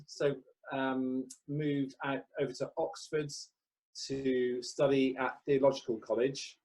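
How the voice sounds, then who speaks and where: A man in his forties from Wales, a vicar, answering interview questions in a relaxed, hesitant way with many pauses and restarts. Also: medium pitch, rough recording.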